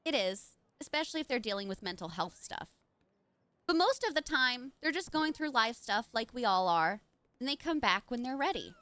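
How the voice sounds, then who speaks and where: A woman voicing Nora, a calm, modest young woman artist, with a soft, level, reassuring delivery. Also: a little high, moderate pace, no room sound.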